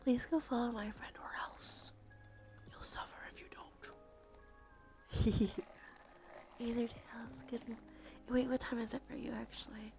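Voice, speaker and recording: A woman streaming soft ASMR chat, often slipping into a whisper, sniffly with allergies and a sore throat, casual and affectionate with some swearing. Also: a little high, flat delivery, measured pace, pauses often, faint music behind. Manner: breathy, frequent drops into whisper. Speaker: livestream host.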